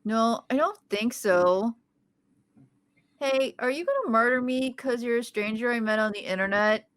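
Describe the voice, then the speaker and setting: Woman reading quirky chatty young teacher, slightly high. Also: lively intonation, normal speed, no echo, close to an everyday voice. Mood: brusque. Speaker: podcast host reading a part. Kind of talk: amateur table read.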